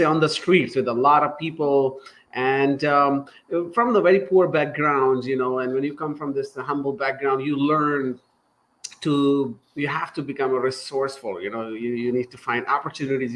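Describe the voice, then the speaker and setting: A man's voice: mid-range voice, poor audio. A man from Pakistan, a startup founder, talking as a guest in a casual remote interview, earnest and thankful, with loose restarts.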